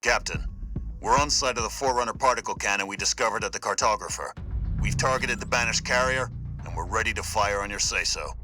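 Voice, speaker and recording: An actor playing a disciplined soldier in a video game, reporting from the field in a businesslike military manner. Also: male voice, fairly low pitch, fairly quick, lightly acted. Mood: focused, businesslike. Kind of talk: dramatic video game acting. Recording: loud game music behind. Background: music.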